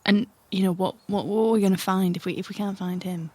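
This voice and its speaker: A woman's voice: medium pitch, fairly even intonation, quick pace, warm tone, dry recording. Woman in an rpg session, hesitant n questioning, chatty, close dry mic. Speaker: player in tabletop game. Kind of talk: casual in-character improv chat.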